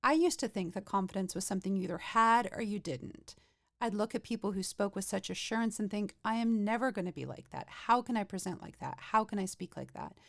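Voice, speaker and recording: Woman podcast host, motivational and chatty, mid-pitched, dry close-mic sound. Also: brisk, vocal fry, dry recording. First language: not Swedish. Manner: upbeat, motivational and conversational. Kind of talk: scripted podcast monologue.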